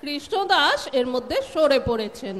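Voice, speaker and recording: A woman's voice: high-pitched, very animated, moderate pace, fairly bright tone. A woman reading scripted narration that sets the scene in a staged audio drama. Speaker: narrator, schoolteacher. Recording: stage recording with audience noise.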